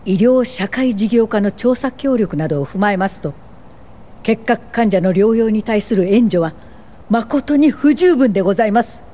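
An actress playing a principled medical social worker in a radio drama, speaking in a measured, formal and steady way. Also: female voice, mid-range voice, lightly acted. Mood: firm, formal. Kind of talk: radio drama narration and acting.

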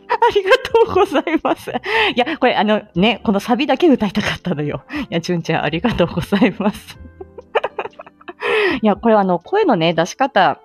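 A woman's voice: medium pitch, wildly swooping pitch, normal speed, long pauses, slightly rough, faint music behind. A woman hosting a casual audio live stream, chatty and self-deprecating in a giggly way. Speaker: host of an audio live stream, amateur singer.

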